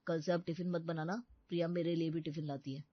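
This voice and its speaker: A woman's voice: deep voice, fairly even intonation, fast, dry recording, lo-fi sound, voice pushed down. A woman voicing a hapless, defensive young college man in a cartoon family story, earnest and exasperated.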